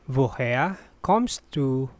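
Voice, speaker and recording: Lesson narrator; very slow, even phrase drills; dry close recording. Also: medium pitch, no room sound. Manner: repeats each phrase slowly and evenly. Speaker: language teacher.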